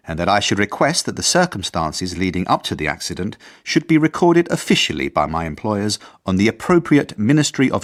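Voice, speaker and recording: Deep earnest schoolmaster, measured and formal but lively melody, radio drama narration. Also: male voice. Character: earnest, persistent, mild-mannered male acting head teacher.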